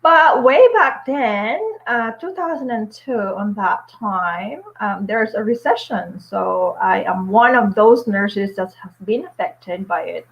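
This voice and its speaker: A woman's voice: mid-range voice, slow pace, creaky, few pauses. A woman in her forties, a nurse and vlogger chatting on a casual live stream at home, upbeat, with many fillers and little laughs. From Philippines. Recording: dull lo-fi stream audio.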